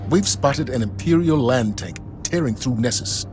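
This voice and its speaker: An actor playing a grave, principled military leader, speaking with firm, serious and measured authority in dramatic game dialogue. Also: male voice, medium pitch, lively intonation, lightly acted. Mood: firm, briefing. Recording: music behind the voice.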